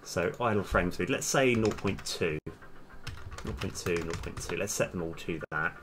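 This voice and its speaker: A man's voice: sits low, normal speed, a bit bright, a little hiss or hum. A man live-streaming as a game developer, casually thinking aloud and muttering bits of code to himself in fragments. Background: background music, computer keyboard typing.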